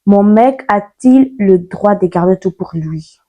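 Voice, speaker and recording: A woman's voice: medium pitch, lively intonation, takes it slowly, mellow, dull audio. A nineteen-year-old woman from Ivory Coast, chatting playfully and sassily to camera in a casual vlog. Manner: chatty, playful, sassy, mixes slang. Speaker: YouTuber.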